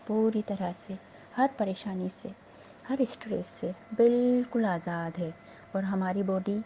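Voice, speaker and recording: A woman meditation instructor leading a slow guided relaxation in a soothing, unhurried, gently instructing manner with pauses. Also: mid-range voice, a little hiss or hum, low-quality recording.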